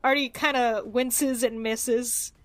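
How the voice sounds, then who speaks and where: A woman's voice: fairly high pitch, fairly even intonation, unhurried, no room sound. A woman playing a tabletop roleplaying game, casually describing what her character does.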